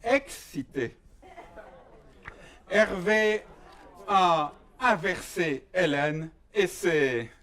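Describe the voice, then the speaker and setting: A man from Belgium performing a comic stage monologue in a mock-serious, deliberate way, with pauses for effect. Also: high-pitched, animated, a bit bright. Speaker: stand-up performer.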